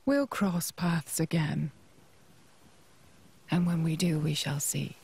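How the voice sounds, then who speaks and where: A proud female military commander in a game cutscene. Her voice is husky and she speaks with slow, formal composure and calculation. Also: deep voice, a little mellow, heavily acted. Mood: cool, resolved. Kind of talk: video game cutscene acting.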